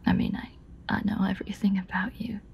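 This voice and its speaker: Whispering, creaky, obsessive yandere woman played by an actress. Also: medium pitch, flat delivery, a little mellow, soft background music. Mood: obsessive, uneasy. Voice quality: breathy whisper. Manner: halting, pleading, intimate. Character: obsessive, guilt-ridden yandere woman pleading at lover's bedside. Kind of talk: whispered dramatic audio roleplay addressed to a listener.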